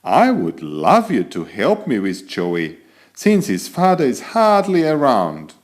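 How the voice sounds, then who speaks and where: A man voicing a kindly, excitable female kangaroo, a new mother, as a character in a children's storybook. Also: takes it slowly. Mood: excited. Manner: high-pitched exclaiming, eager.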